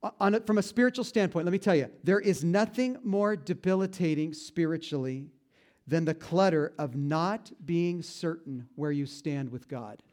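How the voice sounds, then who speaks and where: A man preaching a conversational sermon, joking at his own expense and slowing down to stress key points. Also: a little mellow. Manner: folksy, self-deprecating humor with frequent restarts; slows down and drops low for emphasis on key points. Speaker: pastor.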